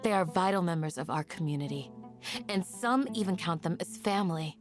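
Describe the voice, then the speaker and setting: An actress plays an intimidating woman warrior who guards her village. Her fairly low voice is measured, formal and stern, in dramatic video game style, with music behind it. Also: expressive, lightly acted. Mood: cold fury.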